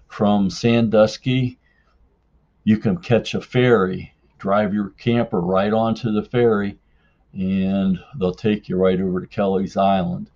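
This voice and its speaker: A man tells a story in a fairly low voice, taking his time between phrases. His manner is casual and folksy. The sound is dull and lo-fi, like a voicemail message. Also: warm tone. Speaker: podcast listener leaving a voicemail story.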